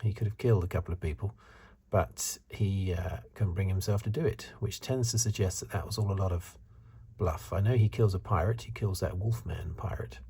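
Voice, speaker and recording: A man giving soft-spoken, partly whispered ASMR review commentary in a breathy, hesitant, musing way. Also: sits low, fairly quick, hardly any pauses. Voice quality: breathy, hushed. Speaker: host of a film review video.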